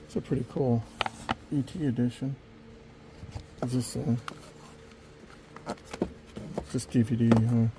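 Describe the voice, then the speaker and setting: A middle-aged man with a slightly raspy voice, casually talking to himself as he browses a thrift store and films it. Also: mid-range voice, slow, long pauses, background noise. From Las Vegas area. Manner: relaxed, muttering to himself while browsing. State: slightly raspy from dust and a cough. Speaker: thrift vlogger.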